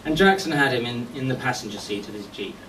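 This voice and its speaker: A man delivering a eulogy full of anecdotes, telling stories in short phrases with deliberate pauses. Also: mid-range voice, lively intonation, fairly bright tone. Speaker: friend giving a memorial tribute. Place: golf club function venue at a memorial service. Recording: echoey distant mic with background noise.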